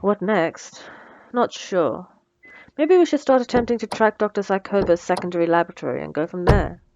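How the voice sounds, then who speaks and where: A woman's voice: on the low side, animated, normal speed, gravelly, darkish tone, lo-fi sound. A woman playing a wry, menacing supervillain in a scripted audio roleplay, teasing and condescending, with cool threats. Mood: businesslike. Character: wry, menacing female supervillain mentoring a captive assistant.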